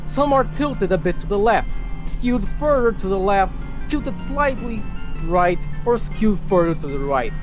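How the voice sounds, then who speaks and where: A man doing a dramatic, mock-grave reading of a written rant as a stream host. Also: sits high. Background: music.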